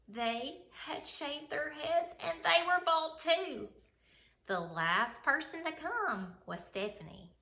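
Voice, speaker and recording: A woman reading a children's picture book aloud as a bedtime story, in an expressive, dramatic way. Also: on the high side. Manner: expressive, dramatic, acts out dialogue. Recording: roomy, echoey sound.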